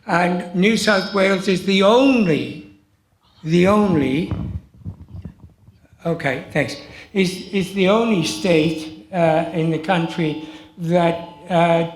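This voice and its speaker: Seventies man from the audience, high and slow, loud halting question in a noisy, echoey hall. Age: 70s. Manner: loud, halting with many fillers. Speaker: audience member asking a question. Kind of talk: question from the floor. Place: public forum hall.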